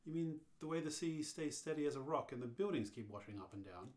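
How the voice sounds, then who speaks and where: A man reading the part of a brisk, self-satisfied space hitchhiker in an amateur radio play. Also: on the high side, animated, darkish tone, natural voice. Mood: uneasy. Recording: echoey room.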